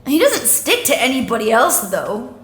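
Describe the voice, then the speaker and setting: An actress playing a brash, foul-mouthed young agent in an audio drama, blunt, surly and sarcastic. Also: female voice, on the high side, sing-song, normal speed, roomy sound, low-quality recording. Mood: grumbling.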